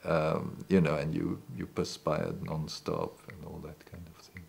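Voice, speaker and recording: A man's voice: very deep voice, flat delivery, unhurried, creaky, darkish tone, husky. A man in his sixties, not a native English speaker, recalling the past in a seated interview in a living room, hesitant with many restarts. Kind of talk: reflective recollection. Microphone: off-camera, seated interview.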